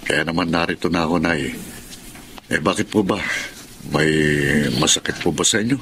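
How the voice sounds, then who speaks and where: A man's voice: deep voice, moderate pace, noisy background, lightly acted. An actor playing a guilt-ridden, respectful family man in a Filipino radio drama, with a Filipino accent. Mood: uneasy. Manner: hesitant, respectful, pleading. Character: conscience-stricken, submissive working-class father.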